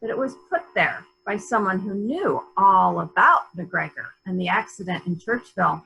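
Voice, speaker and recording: A woman playing a brisk, authoritative police inspector, questioning in a measured, probing way in a murder mystery reading. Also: mid-range voice, expressive, creaky, sharp tone, muffled recording. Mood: pointed.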